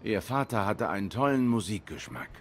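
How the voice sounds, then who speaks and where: Hardboiled cat detective, low-pitched voice, brooding, restrained, measured, noir video game. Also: male voice, expressive, a little hiss or hum. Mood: earnest, restrained. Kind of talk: noir game dialogue acting.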